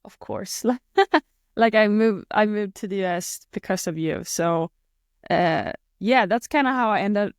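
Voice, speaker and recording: Young Swedish woman, guest in a casual chat, smooth and clear tone, dry close sound, halting with lots of "uh". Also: mid-range voice, no echo. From Sweden. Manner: hesitant, many fillers and restarts. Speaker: guest athlete.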